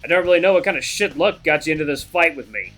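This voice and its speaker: Casting: male actor for a massive battle-scarred dinosaur prizefighter, booming, condescending, slangy, bright forceful delivery in a game. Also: quick pace, soft background music, lightly acted. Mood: menacing, resigned. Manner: mocking, booming, casual slangy talk. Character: huge scarred dinosaur veteran fighter, amused and gruff.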